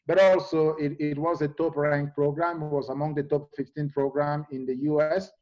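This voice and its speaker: A middle-aged man from Cameroon, a guest in a conversational interview, speaking in a halting way with many fillers and restarts. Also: a little high, little pitch movement, darkish tone, lo-fi sound.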